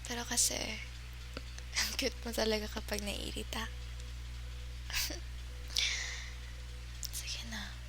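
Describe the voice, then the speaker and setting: Casting: woman as teasing, affectionate gamer girlfriend, Filipino accent, slow delivery, ASMR roleplay with noticeable background noise. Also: a little high, little pitch movement. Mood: soft, coaxing. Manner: teasing, giggly, sighing, mixes English into Tagalog.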